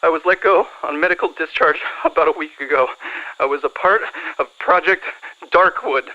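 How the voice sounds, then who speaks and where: A man's voice: fairly high pitch, moderate pace, lightly acted. An actor playing a nervous man on the run, stammering and panicky as he calls a radio show. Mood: panicked. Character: nervous, hunted ex-government whistleblower calling a radio show. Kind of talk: acted radio call-in. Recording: muffled caller line.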